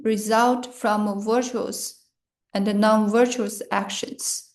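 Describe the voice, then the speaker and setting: A woman reading a scripted guided meditation in short, measured phrases with pauses between them. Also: medium pitch, flat delivery.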